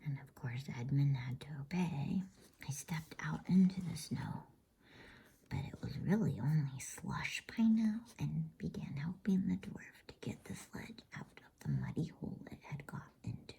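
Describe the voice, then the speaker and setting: A woman reading a novel aloud as a bedtime story in a hushed, unvoiced ASMR whisper. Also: deep voice, big pitch swings, unhurried, gravelly, slightly rough.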